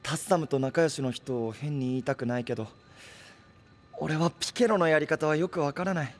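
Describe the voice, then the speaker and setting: Man acting a simple-hearted young hero, fairly high pitch, plain-spoken, earnest, audio drama, faint music. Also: a little fast, natural voice. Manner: blunt, naive, boyish casual speech.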